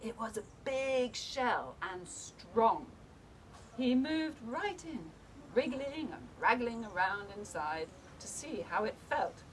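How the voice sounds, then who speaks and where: A woman storyteller reading a picture book aloud, with deliberate, dramatic phrasing for young children. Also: fairly high pitch, very animated, rough recording.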